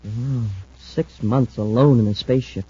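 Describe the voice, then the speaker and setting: An actor playing an eager, earnest young space cadet sidekick, deferential and excitable, in an old-time radio serial. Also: male voice, medium pitch, unhurried, slightly rough. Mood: puzzled. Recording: muffled old radio recording.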